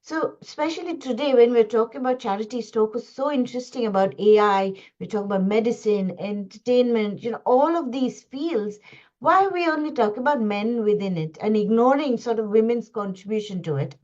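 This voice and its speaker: A woman from India giving an earnest webinar talk over a video call, halting and full of restarts and fillers. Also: a little high, darkish tone. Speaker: guest speaker. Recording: dull lo-fi call audio.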